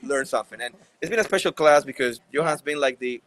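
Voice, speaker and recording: A man with a Puerto Rican accent co-hosting a podcast at a live event, playful and quick to joke and laugh. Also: medium pitch, a bit bright, rough recording. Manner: playful, joking, laughs often. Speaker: podcast co-host. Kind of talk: casual chat at a live event.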